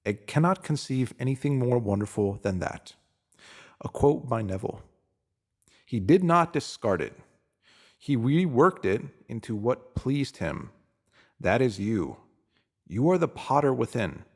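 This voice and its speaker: A man reading a scripted motivational spiritual piece in a deliberate, emphatic manner, pausing to give phrases weight. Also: medium pitch, lively intonation, darkish tone. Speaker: narrator reading a spiritual self-help post.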